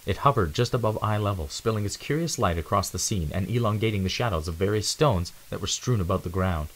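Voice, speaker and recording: A man's voice: on the low side, lively intonation, fast talker, rough recording. A man giving a dramatic narration of an adventure storybook.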